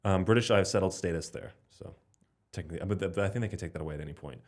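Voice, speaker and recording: Man, young, from Slovenia, deep voice, rapid rambling talk, fillers and restarts. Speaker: podcast guest, comedian. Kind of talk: casual conversation.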